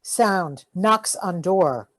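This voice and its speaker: A woman's voice: lowish voice, expressive, drawn-out words, no echo. A woman reading out sound-effect cues for a radio play in short, announced phrases. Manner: clipped, announced cue words. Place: performed from home on a video call.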